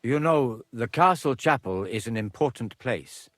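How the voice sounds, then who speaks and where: A guarded older priest. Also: male voice, medium pitch, expressive, unhurried, fairly bright tone, dry recording, soft background music. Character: stern castle priest, older man, guarded. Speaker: castle priest. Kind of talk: game dialogue.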